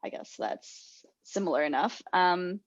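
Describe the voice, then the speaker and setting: A woman's voice: on the low side, measured pace, no echo. Young woman podcast co-host, rambling storyteller, self-mocking, dry muffled sound. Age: young adult. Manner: rambling, self-deprecating, laughs a lot. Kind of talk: casual storytelling chat.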